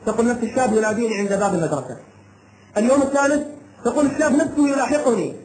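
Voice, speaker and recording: A male preacher delivers an impassioned cautionary sermon in a very high-pitched voice. His phrases are short, emphatic and often repeated, and they come quickly. The sound is strongly echoey. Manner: emphatic short phrases, repetition for dramatic effect. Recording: echoey room sound with light background noise.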